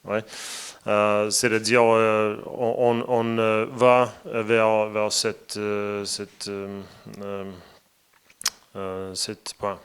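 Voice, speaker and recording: A man from Latvia, a native Latvian speaker with a Latvian accent, giving a radio interview as a guest in slow, halting speech, pausing often to search for words. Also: fairly low pitch, level tone, clear, resonant tone. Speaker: interview guest, parliament president.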